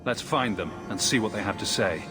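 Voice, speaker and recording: An actor playing a young refugee hero in a video game, earnest, direct and questioning. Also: male voice, on the low side, a little fast, music in the background, close to an everyday voice.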